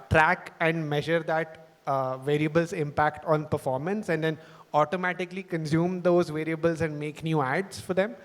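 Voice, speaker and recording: Man, startup presenter, casual onstage questions, slightly high voice, long hall reverb. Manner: casual, filler-heavy questions. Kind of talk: onstage interview. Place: large event hall.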